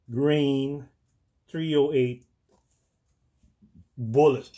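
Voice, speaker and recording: A man's voice: medium pitch, mellow. A man whose first language is Tagalog, hosting a casual explainer video, talking hesitantly with many fillers and restarts. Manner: slow, deliberate. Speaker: channel host.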